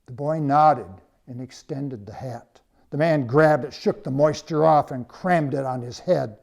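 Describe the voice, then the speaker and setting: A man reading his own story as scripted narration, with measured, dramatic phrasing and pauses. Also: medium pitch, gravelly, a little mellow.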